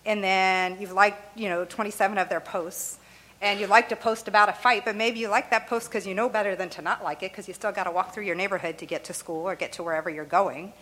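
A woman's voice: medium pitch, fairly even intonation, fast talker, fairly bright tone, poor audio. A woman whose first language is Arabic, explaining her views in a loose, conversational way with frequent "you know" and "right?" and light laughs. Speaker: interviewee.

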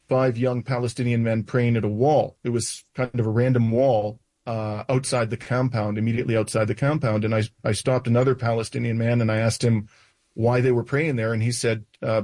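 A man in his sixties with a North American accent recounts events in an interview, pausing often with uhs and restarts. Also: medium pitch, darkish tone, dry recording. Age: 60s. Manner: halting, with frequent uhs and restarts. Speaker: interview guest.

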